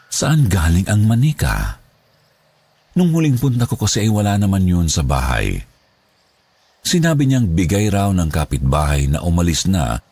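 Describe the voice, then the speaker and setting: A man with a Filipino accent narrating a scripted first-person horror story in a dramatic, deliberate way. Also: low voice, a little mellow, slightly rough, no room sound. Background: background music.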